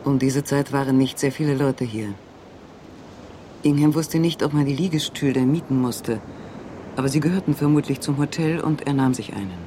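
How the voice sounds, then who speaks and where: Calm male radio-play narrator. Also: mid-range voice, a little hiss or hum. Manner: calm, measured storytelling. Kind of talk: scripted literary narration.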